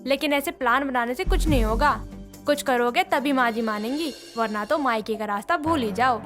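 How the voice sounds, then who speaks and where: A woman voicing a cheeky young daughter-in-law with polite excuses in an animated moral story. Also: high-pitched, moderate pace, natural voice. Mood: practical. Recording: music behind the voice.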